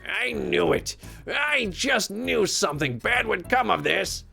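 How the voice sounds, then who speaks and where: A woman's voice: mid-range voice, lively intonation, slow, vocal fry, pushed and bright, faint music behind, voice pushed up, heavily acted. A woman voicing a cranky old male ape grandfather from a storybook, grumbling and scolding in a folksy old-timer way. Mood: exasperated.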